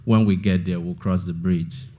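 A man's voice: low-pitched, steady tone, moderate pace, warm tone, slight background noise. A young man in his twenties from Nigeria, an author answering questions in an onstage interview, thoughtful and often repeating words as he finds his point. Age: 20s.

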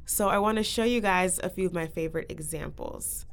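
A woman's voice: fairly low pitch, a little fast. A young woman hosting a casual podcast, talking with guests and presenting trends. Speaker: podcast host.

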